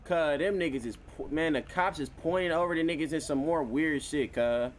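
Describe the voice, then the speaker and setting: A man's voice: on the high side, moderate pace, slight background noise. A man streaming a role-play game, talking casually in heavy slang with plenty of swearing. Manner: profane, slangy.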